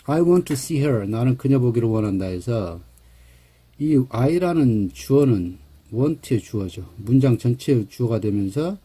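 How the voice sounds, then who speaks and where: A middle-aged man with a Jeolla Korean accent giving a relaxed English grammar lecture, pausing on fillers and correcting himself. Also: mid-range voice, breathy edge. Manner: relaxed, slightly hesitant, with fillers and self-corrections.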